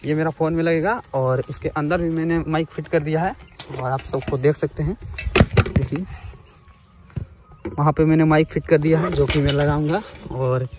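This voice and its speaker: Higher-pitched man, casual vlogger. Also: slight background noise. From India. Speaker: motovlogger. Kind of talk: casual vlog demonstration.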